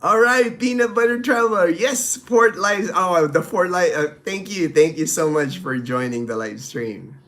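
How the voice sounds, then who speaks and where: Brief: middle-aged Filipino man, native Tagalog speaker, slightly high voice, loose rambling live-stream host talk. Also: expressive, unhurried, hardly any pauses, a bit bright. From Philippines. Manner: rambling, friendly, many fillers and restarts. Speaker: live stream host answering viewer questions. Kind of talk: casual live-stream chat.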